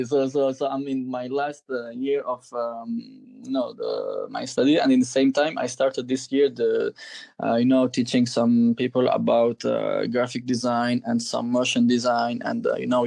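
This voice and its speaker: A man's voice: medium pitch, flat delivery, a little slow, gravelly, darkish tone, dry recording. A man in his twenties from Algeria, a photographer guest chatting casually, hesitant with many fillers and restarts. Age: 20s. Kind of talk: casual interview chat.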